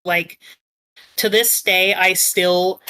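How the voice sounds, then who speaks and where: Female panelist, slightly low pitch, bright clear-cut tone, casual opinionated explainer. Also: fairly even intonation, drawn-out words, rough recording. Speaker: podcast panelist.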